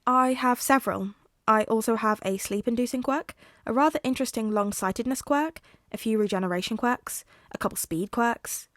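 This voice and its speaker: A woman reading the lines of a calm, wry father in a measured, dry manner for a story. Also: on the high side, no echo, close to an everyday voice. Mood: matter-of-fact.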